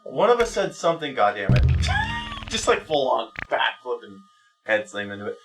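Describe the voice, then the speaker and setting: A man's voice: medium pitch, wildly swooping pitch, moderate pace, fairly bright tone, recorded from a distance. A man giving rambling, profane, self-deprecating commentary while playing a video game. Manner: exclaims at the game mid-sentence. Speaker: video game let's player. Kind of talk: rambling casual gameplay commentary. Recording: music playing behind the voice. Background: music.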